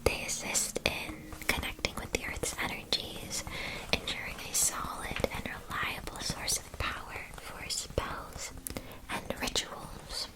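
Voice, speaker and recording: A woman playing a gentle, attentive witch-school shopkeeper in a soft, soothing ASMR roleplay. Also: measured pace, lightly acted, rough recording.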